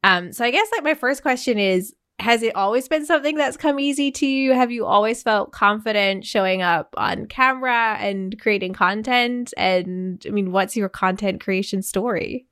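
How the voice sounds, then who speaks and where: A woman's voice: mid-range voice, normal speed, hardly any pauses, a bit bright, clear, resonant tone. Podcast host, woman: enthusiastic, relatable interviewer, casual delivery. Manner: enthusiastic, relatable, asks open questions.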